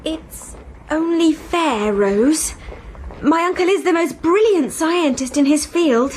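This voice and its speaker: An eager young girl in a radio adventure play. Her voice is very high, and she pleads and chatters insistently over slight noise. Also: lively intonation, slow pace, darkish tone. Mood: placating. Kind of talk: 1990s radio comedy-adventure acting. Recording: noisy radio broadcast with ambient effects.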